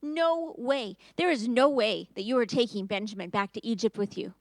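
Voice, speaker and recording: A woman voicing an anguished old father in a storybook retelling, flatly refusing to let his youngest son go. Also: high-pitched, brisk, no room sound, pitched above the performer's own voice. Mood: distressed. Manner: distressed, refusing.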